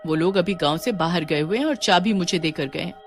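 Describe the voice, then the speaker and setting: A woman's voice: fairly low pitch, fairly quick, faint music behind, voice pushed down, lightly acted. A woman voicing an indignant, distressed young village wife in a cartoon horror story, with dramatic, complaining delivery. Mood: scheming. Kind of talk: acted cartoon dialogue.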